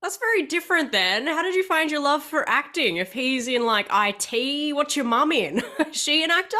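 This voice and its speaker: A young woman hosting a casual interview over a video call, chatty and quick to laugh. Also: sits high, expressive, sharp tone. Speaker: host.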